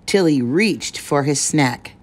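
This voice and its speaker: A woman reading a children's picture book aloud, giving the rhymes a measured, expressive delivery. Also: low-pitched. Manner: measured, expressive rhyming narration. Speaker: storyteller.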